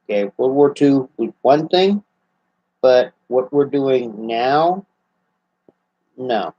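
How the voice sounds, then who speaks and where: An easygoing American man in his fifties answers viewer questions live from an armchair at home. He talks in a relaxed, halting way, often restarting and trailing off. The webcam audio sounds a little dull and lo-fi. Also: mid-range voice. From United States. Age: 50s. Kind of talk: casual live Q&A chat. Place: small room at home, seated in armchair indoors. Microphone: webcam at close range.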